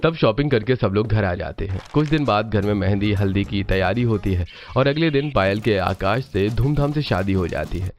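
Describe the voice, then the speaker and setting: A man's voice: fairly low pitch, animated, moderate pace, hardly any pauses, a little hiss or hum. A man narrating a scripted cartoon family story.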